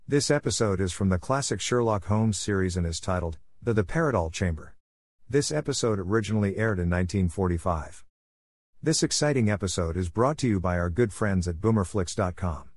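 A man with a fairly low voice presents in a folksy, friendly way. He reads a scripted introduction and sponsor message close to the mic, with a dry sound. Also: a little mellow, dry recording. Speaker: presenter of a classic radio series.

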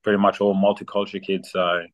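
A young man from South Sudan, a guest athlete chatting casually in an interview, relaxed and full of ums. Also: deep voice, fast talker, lo-fi sound. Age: young adult. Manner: relaxed, many ums and fillers.

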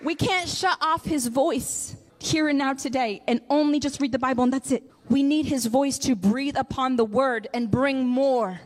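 A woman preacher in full sermon mode, pressing each point with fervent repetition. Her voice is pitched high. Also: moderate pace, hardly any pauses.

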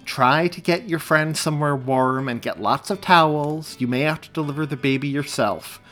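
Unflappable female dispatcher, done by a male narrator: patient, procedural, raised pitch, storybook reading with music. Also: moderate pace. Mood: patient, calm. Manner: calm, procedural. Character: cool, patient, matter-of-fact female emergency operator.

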